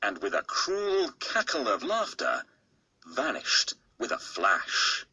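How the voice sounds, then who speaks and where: A man narrating a bedtime storybook in a slow, expressive, husky, gravelly storytelling voice. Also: medium pitch, no room sound, low-quality recording. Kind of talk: bedtime storybook read-aloud.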